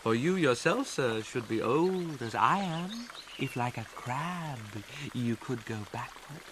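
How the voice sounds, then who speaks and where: An actor playing a young prince feigning madness, sardonic, playful and bitter in a theatrical Shakespearean radio drama style. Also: male voice, medium pitch, animated, unhurried, noisy background, natural voice. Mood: mocking, mad.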